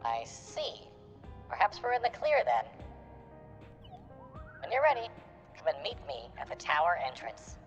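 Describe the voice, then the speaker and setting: Man voicing a composed resistance strategist, very animated intonation. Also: fairly high pitch, unhurried, pauses often, pitched above the performer's own voice. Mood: composed, businesslike. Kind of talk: acted reading of video game dialogue. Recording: dull lo-fi sound with game music.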